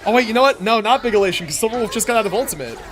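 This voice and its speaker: A man's voice: high-pitched, expressive, racing, fairly bright tone. A man livestreaming a game, commenting casually and answering chat, slangy and sweary as he thinks aloud.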